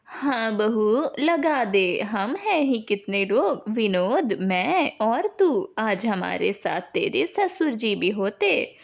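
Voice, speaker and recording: A woman's voice: fairly high pitch, sing-song, takes it slowly, gravelly, few pauses, exaggerated voice. A woman voicing an older, self-blaming, poor mother-in-law in a family story, apologetic and worried in a hesitant way. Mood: resigned.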